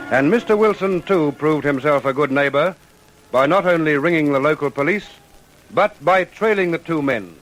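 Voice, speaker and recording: A man narrating a scripted radio drama in a measured storytelling manner. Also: a little high, fairly bright tone, rough recording.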